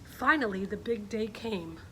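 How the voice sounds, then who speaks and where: Middle-aged female story-time host, mid-pitched, informal and chatty with kids, noisy live-stream sound. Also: expressive, unhurried. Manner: chatty, informal, often checking in with young listeners. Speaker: coach hosting a children's story time. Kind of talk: casual livestream chat and picture-book read-aloud. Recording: clear background noise, live stream.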